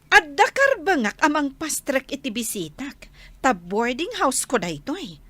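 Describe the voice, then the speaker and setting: Brash, high-pitched flirty Filipina. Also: female voice, sing-song, unhurried, pushed and bright, dry recording, heavily acted. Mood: defiant, mocking. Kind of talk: radio drama acting.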